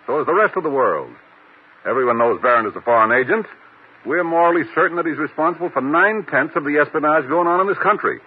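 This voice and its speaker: Exasperated male spy chief, husky, swinging intonation, blustery, muffled old radio sound. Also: a little high, lively intonation, fast. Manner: blustery, skeptical. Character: exasperated, gruff male intelligence bureau chief. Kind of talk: 1950s radio drama acting.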